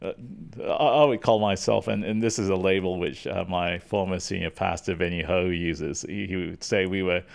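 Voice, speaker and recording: A middle-aged man with a low, deep voice chats on a podcast. His manner is self-deprecating and easygoing, and he often laughs at himself. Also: fairly even intonation, moderate pace, vocal fry, few pauses. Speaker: worship leader, podcast co-host. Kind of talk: casual chat.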